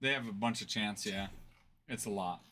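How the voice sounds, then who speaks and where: A man's voice: lowish voice, normal speed, bright, forceful tone, slight background noise. A man hosting a casual card-break livestream, joking around and laughing often.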